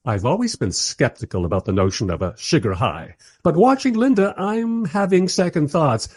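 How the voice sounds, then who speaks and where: Male actor as weary filmmaker narrator, radio drama. Husky, wry, animated intonation, very dry recording. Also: on the high side, moderate pace, no echo. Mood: dry exasperation. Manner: dry, sardonic storytelling. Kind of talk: radio play first-person narration.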